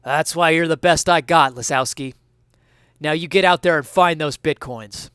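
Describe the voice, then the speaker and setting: A man's voice: fairly high pitch, normal speed, sharp tone, clean tone, no echo, clean studio sound. A man playing a grave, mercenary police chief in a parody crime story, speaking in a clipped, portentous way. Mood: resolute.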